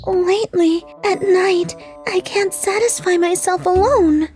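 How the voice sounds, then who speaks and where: A woman voicing a shy, lonely young married neighbor in a manga dub, speaking softly and hesitantly. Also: very high-pitched, fairly even intonation, a little slow. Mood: pleading. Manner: soft, pleading, hesitant. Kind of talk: anime-style manga dub acting. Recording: faint background music.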